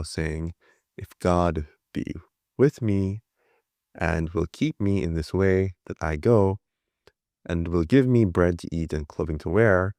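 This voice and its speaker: A man with a very low voice reads scripture aloud at an even pace. The sound is dry and close, with no room around it. He now and then trips on a word and starts it again. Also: creaky, no room sound. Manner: steady plain reading with small slips and restarts. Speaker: scripture reader. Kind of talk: reading the Bible aloud.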